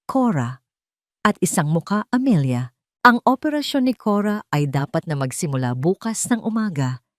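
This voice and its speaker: A woman's voice: low voice, no echo, clean studio sound. A woman narrating a scripted romantic audio drama as the storyteller.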